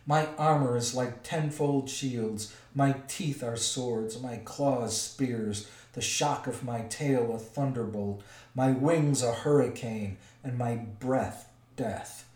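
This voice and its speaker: A man voicing an ancient, vain and boastful male dragon, slow and menacing, in an echoey room a little way from the mic. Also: medium pitch, darkish tone, recorded from a distance, natural voice.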